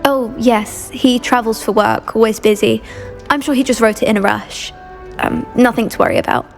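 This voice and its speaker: A woman playing a polite, gentle, slightly anxious teenage schoolgirl in a video game. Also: a little high, fairly even intonation, moderate pace, vocal fry, soft background music. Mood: worried. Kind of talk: video game character dialogue.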